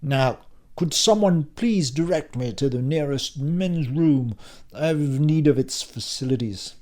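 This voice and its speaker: A man voicing a drunk who affects a mock-polite English gentleman with Received Pronunciation, in an audiobook. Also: fairly high pitch, lively intonation, a little slow, voice pushed up. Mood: pompous politeness.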